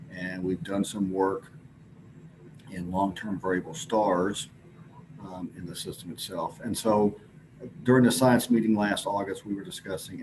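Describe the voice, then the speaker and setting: A man with a notably deep voice gives a scientific presentation. He explains in a loose, unscripted way, often stopping and restarting his sentences. Clear background noise runs through the recording. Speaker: conference presenter.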